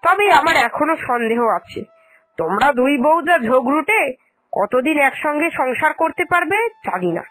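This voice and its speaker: A woman's voice: high voice, big pitch swings, normal speed, a bit bright. Woman playing a plaintive elderly mother-in-law. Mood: relieved but doubtful. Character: aggrieved elderly mother-in-law, long-suffering and scolding. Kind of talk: Bengali cartoon dialogue acting.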